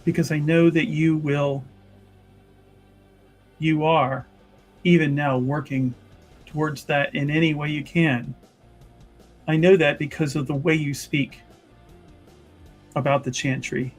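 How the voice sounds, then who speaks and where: The husky, careful peacemaker of a fantasy roleplaying stream, played by a man, offering solemn counsel in measured pieces while faint guitar plays. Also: fairly high pitch, level tone. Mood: earnest, gentle. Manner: measured, halting, earnest. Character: earnest, conciliatory chantry member, solemn and cautioning. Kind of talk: in-character improvised dramatic dialogue.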